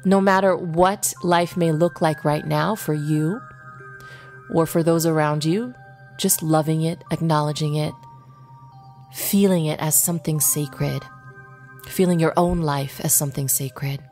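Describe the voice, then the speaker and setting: A woman leading a guided meditation in an unhurried way, pausing between short phrases. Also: low voice, steady tone, a little mellow, faint music behind.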